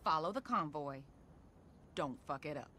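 A woman's voice: low-pitched, animated, moderate pace, heavy background noise. An actress playing a stern, foul-mouthed female security boss in a video game, curt, commanding and sarcastic. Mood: stern, scornful. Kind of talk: video game cutscene dialogue.

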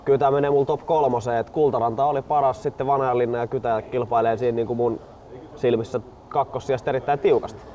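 Male co-host, twenties, relaxed conversational delivery, mid pitch, faint outdoor noise. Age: 20s. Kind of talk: casual chat. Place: outdoors at a golf club.

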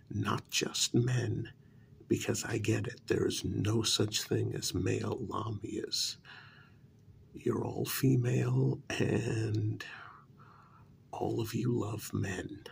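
A man playing a cajoling talent agent in a soft-spoken ASMR role-play, half-whispering gently and coaxingly, close to the microphone. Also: fairly low pitch, vocal fry, a little hiss or hum, heavily acted. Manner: gentle, coaxing, deliberate with pauses. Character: short, cajoling male talent agent to monster women.

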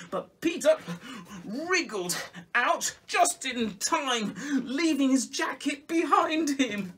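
A middle-aged man reading a children's story expressively and theatrically, with dramatic pauses and exclamations. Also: high voice, fairly bright tone, slightly rough. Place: small music room at home, indoors.

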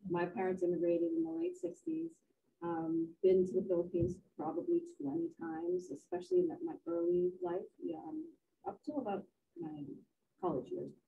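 A woman's voice: on the low side, very animated, moderate pace, vocal fry. A middle-aged woman from the Boston area, a university career services staffer, telling reflective stories on a panel. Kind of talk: casual panel discussion. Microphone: video call. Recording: dull video call audio.

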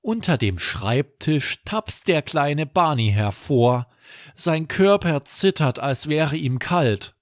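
A man's voice: a little high, expressive, slow pace, no room sound, clean studio sound. A man narrating a scripted bedtime story for children as a podcast storyteller.

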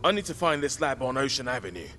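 An actor playing a wisecracking, streetwise British survivor in a zombie video game, flippant and slangy in manner. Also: male voice, mid-range voice, sing-song, fast, close to an everyday voice. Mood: sardonic. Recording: faint background ambient noise.

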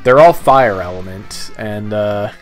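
A man's voice: lowish voice, a little slow. Man commentating over game music. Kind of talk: casual gameplay commentary. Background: video game music.

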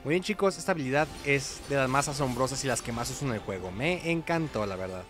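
Dude chatting through a video game, casual, music going behind him. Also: male voice, medium pitch, animated, quick pace, fairly bright tone. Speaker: gaming video host. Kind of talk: casual gameplay commentary.

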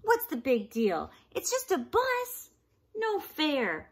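A woman's voice: sits high, wildly swooping pitch, takes it slowly, voice pushed up, full character voice. A woman voicing a pleading, tantrum-prone pigeon from a picture book, whiny and dramatic. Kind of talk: children's storybook read-aloud.

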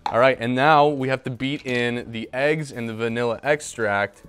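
A man's voice: mid-range voice, moderate pace, fairly bright tone, clean tone, soft background music. Upbeat young man hosting a kitchen show. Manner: upbeat, chatty, talking to a silent mascot. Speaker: host. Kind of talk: casual cooking show. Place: home kitchen, indoors. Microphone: lapel mic.

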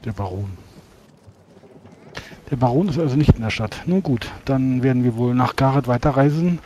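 A man's voice: mid-range voice, moderate pace, noisy background. A man playing a tabletop roleplaying game, speaking in character with hesitant, formal wording.

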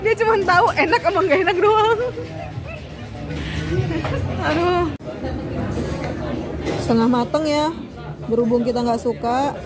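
High-pitched young woman over loud restaurant chatter. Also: unhurried, long pauses. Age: young adult. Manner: playful, teasing, slangy. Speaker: food vlogger. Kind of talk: casual food review chat. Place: indoor mall restaurant. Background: music and diners' chatter.

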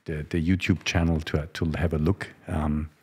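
Very deep-voiced man hosting a casual conversation. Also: flat delivery, a little mellow, husky. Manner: halting, repeats words. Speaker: host. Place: home studio room. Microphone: close broadcast microphone on boom arm.

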